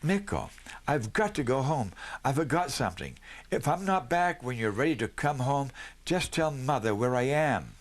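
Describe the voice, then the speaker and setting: A man reading the part of an earnest young boy in a children's storybook, urgent and hurried in manner. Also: medium pitch, sing-song, pitched above the performer's own voice, close to an everyday voice.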